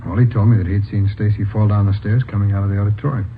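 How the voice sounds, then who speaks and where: An American actor playing an anxious, respectful young policeman in 1950s radio drama style, halting and pleading. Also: fairly low pitch, fairly even intonation, lightly acted. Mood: earnest, explaining. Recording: old radio, narrow band, noisy.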